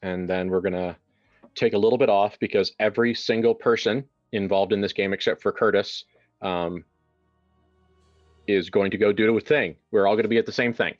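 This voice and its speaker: Low-voiced man hosting, dull lo-fi sound. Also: steady tone, normal speed, soft background music. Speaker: game master and host. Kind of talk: casual improvised introduction and horror game narration.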